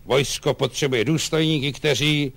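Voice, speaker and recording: A man voicing a grim, pompous army colonel in a storybook, muddle-headed, halting and repeating himself. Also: on the high side, fairly even intonation, creaky, a bit bright. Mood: stern.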